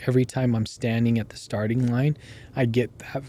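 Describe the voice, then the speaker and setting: A man hosting a podcast, chatting casually with a hoarse voice that keeps going out and telling stories in an animated way. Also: mid-range voice, a little fast, slight background noise. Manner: animated storyteller who laughs while telling. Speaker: podcast host. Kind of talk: casual interview chat.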